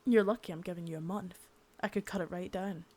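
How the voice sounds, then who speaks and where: A young woman doing an ASMR roleplay as a cold, contemptuous female boss of a drug ring, menacing and swearing, soft-spoken with bits of whisper. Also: lowish voice, steady tone, fairly quick, close to an everyday voice. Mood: cold contempt. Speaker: ASMR creator.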